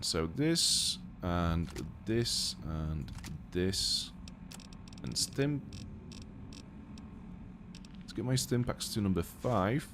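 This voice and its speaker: A man's voice: fairly low pitch, background noise. A man from Poland with a British accent, born Polish-speaking, chatting casually as he plays a game on a live stream and thinks aloud. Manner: relaxed, thinking aloud while playing.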